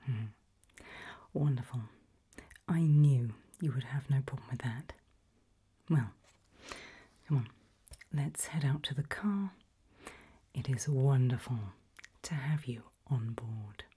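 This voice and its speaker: A woman in an ASMR roleplay voicing a polite, quietly menacing HR interviewer in a breathy, deliberate whisper. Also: very deep voice, pitched below the performer's own voice, exaggerated voice. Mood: politely sinister. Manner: slow, deliberate, softly spoken.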